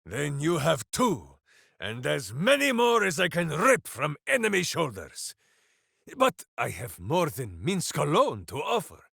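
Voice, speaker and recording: A man's voice: fairly high pitch, huge pitch swings, unhurried, vocal fry, a bit bright, husky, no echo, studio quality, full character voice. A man voicing a big-hearted, simple-minded heroic warrior in a video game, bombastic and earnest, speaking of himself in the third person. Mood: resolute. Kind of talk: video game character voice acting.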